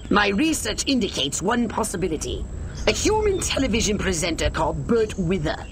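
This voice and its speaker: A man's voice: high-pitched, sing-song, normal speed, background noise. A man playing an imperious, scheming alien commander in a video game, pompous and scornful of humans.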